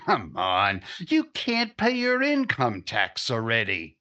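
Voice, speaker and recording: An actor playing an earnest, persuasive newspaper reporter in a 1950s radio drama. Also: male voice, medium pitch, huge pitch swings, slow pace, sharp tone, muffled recording, exaggerated voice.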